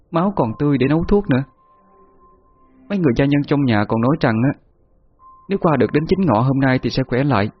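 A man voicing a grieving, frightened young village woman who pleads with her father in a husky, tearful voice. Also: mid-range voice, pitched above the performer's own voice. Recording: muffled lo-fi with faint music.

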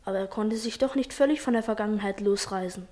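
An actress playing a thoughtful schoolboy in a 1950s radio play, earnest and soft-spoken. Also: female voice, mid-range voice, fast talker, close to an everyday voice. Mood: confiding. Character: thoughtful schoolboy dreaming of a better future.

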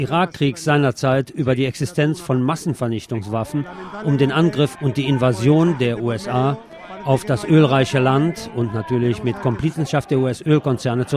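Male radio commentator with a crowd behind. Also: mid-range voice. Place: outdoors at a street protest. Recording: outdoor recording with crowd behind. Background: crowd and city street sounds.

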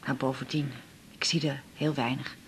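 A woman's voice: rumbling low, little pitch movement, normal speed, slight background noise, poor audio. An actress playing a polite, reserved middle-aged mother of a doctor in a radio drama. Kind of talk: 1980s radio drama acting.

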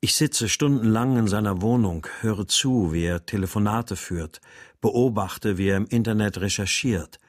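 An actor playing an exhausted, despairing father, narrating a radio crime drama in a brooding, measured way. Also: fairly low pitch, dry recording, clean studio sound, natural voice. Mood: stunned, sober. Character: despairing, exhausted father searching for his abducted daughter.

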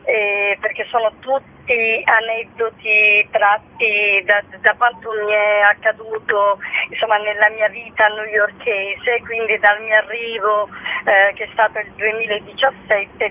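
A woman from Sicily, an actress, chatting hesitantly as a guest in a casual interview, pausing mid-phrase. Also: medium pitch. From Sicily, Italy. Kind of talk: casual promotional radio interview. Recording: band-limited phone-like radio line.

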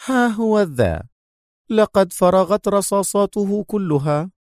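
A man voicing a tough, sharp-tongued woman agent in an audiobook, speaking curtly and with mockery. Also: high-pitched, very animated, normal speed, dry recording, studio quality, voice pushed up. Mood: defiant. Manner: curt, sharp, mocking. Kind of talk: audiobook character voice.

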